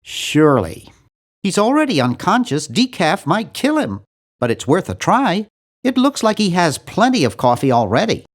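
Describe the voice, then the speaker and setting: A man voicing a nerdy, earnest and anxious young hero in a video game, with a fussy, animated delivery. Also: sits high, moderate pace, full character voice. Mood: earnest, polite. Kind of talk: video game character voice lines.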